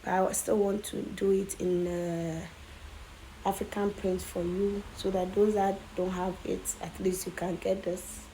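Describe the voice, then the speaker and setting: A hoarse woman talks viewers through a tutorial step by step. Her voice is mellow and a little worn. She keeps checking in with a quick "okay?". A faint hiss of background noise sits behind her. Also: mid-range voice, little pitch movement, rough recording. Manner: chatty, keeps adding "okay?". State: hoarse, lost voice from coughing. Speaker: tutorial host.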